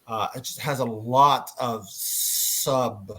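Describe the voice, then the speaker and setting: A man hosts a casual panel discussion. His voice sits at an average pitch. He speaks in a halting way, often searching for the next word as he guides the talk. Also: fairly bright tone. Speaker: panel moderator.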